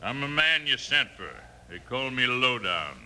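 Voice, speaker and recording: A shady, hard-bitten cattle dealer in an old radio western. His American voice is extremely sharp and forceful, his talk curt, boastful and insolent. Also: medium pitch, lively intonation, a little slow.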